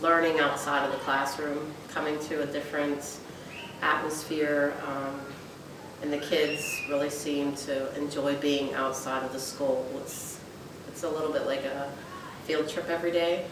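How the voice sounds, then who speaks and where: A woman's voice: little pitch movement, a little slow. A woman schoolteacher speaking informally, as if answering interview questions. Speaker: school teacher. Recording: echoey room from far off, noisy rumble.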